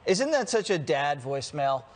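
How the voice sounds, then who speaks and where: A man with an American accent and a notably high-pitched voice. He talks as a panelist on a political talk show, delivering opinionated remarks in a sardonic manner. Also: sing-song, normal speed.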